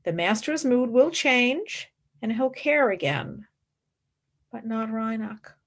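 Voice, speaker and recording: Actress as intense young scholar, sweeping expressive pitch. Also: female voice, full character voice. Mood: grieving, anguished. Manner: dramatic, fervent, deliberate. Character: intense, devoted young female philosophy student, emotionally fervent. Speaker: actor. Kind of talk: radio play acting.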